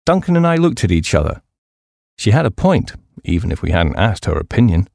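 Male audiobook narrator for a light memoir: lowish register, animated intonation, articulate and measured storytelling. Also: vocal fry, dry recording, clean studio sound.

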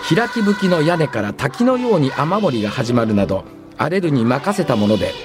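An earnest radio reporter of the 1950s, acted by a man speaks with polite, careful formality. His medium-pitched delivery rises and falls in a lively way, in radio drama style, with faint music behind. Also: close to an everyday voice.

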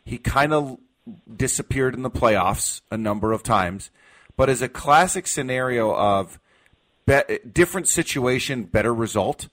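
A man's voice: mid-range voice, expressive, a little slow, a bit bright. A man in his forties with an American accent, hosting casual sports talk on the radio. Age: 40s.

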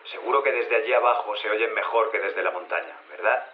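An actor playing a resentful, menacing outsider who leaves a taunting, threatening voicemail in an audio drama. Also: male voice, fairly low pitch, a little fast. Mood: ominous threat. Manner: ominous, taunting, rhetorical questions. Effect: voicemail phone filter. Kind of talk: audio fiction voicemail monologue. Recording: dull lo-fi phone message with noise.

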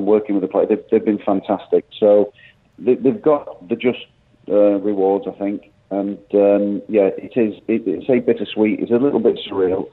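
A football manager giving a phone interview, talking in halting runs with many restarts and fillers, heard through a tinny phone line. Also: male voice, fairly low pitch.

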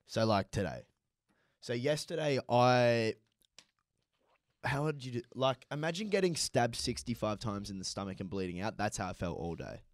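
A young man, co-hosting a podcast and telling crude, animated stories full of swearing. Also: mid-range voice, moderate pace, dry recording, studio quality. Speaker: podcast co-host. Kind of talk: casual chat.

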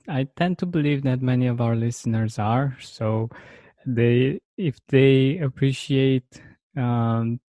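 A man hosting a casual interview, speaking in a hesitant, halting way. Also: medium pitch, warm tone, dry recording, dull audio. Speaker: podcast host.

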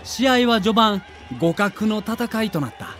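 A man's voice: high-pitched, measured pace, shouting, a crowd in the background, noisy background. A man giving dramatic scripted narration for an audio documentary.

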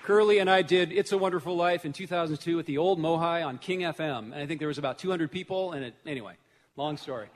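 High-pitched man hosting in a noisy, echoing hall. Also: quick pace. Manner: chatty, rambling asides. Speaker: event host introducing a cast. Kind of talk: casual off-the-cuff stage introductions. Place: large church hall, on stage. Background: audience and room noise.

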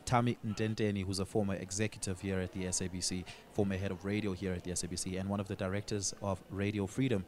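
A man's voice: low voice, little pitch movement, fast talker, hardly any pauses, darkish tone, a little hiss or hum. A man with a South African accent hosting a live radio interview. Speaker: radio host.

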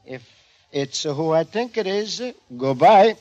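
Earnest, high-pitched Italian immigrant. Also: male voice, expressive, slow, dull audio. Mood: wary, hurried. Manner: broken English, simple explaining phrases. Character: earnest, naive Italian immigrant man, patient but stubborn. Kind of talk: 1940s radio sitcom acting. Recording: noisy vintage 1940s radio recording.

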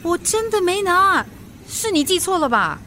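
A woman playing a feisty, stubborn, middle-aged mother in a radio drama, with a Singaporean accent and a brisk, defensive, colloquial way of talking. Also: very high voice, sing-song, bright, forceful tone, slight background noise, full character voice. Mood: insistent. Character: feisty forgetful mother, stubborn, brushing off worry.

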